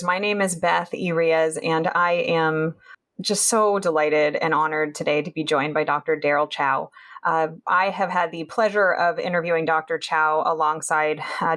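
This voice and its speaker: A woman's voice: sits low, fairly bright tone. A woman hosting a clinical interview podcast, speaking with enthusiasm and occasional fillers. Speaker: podcast host.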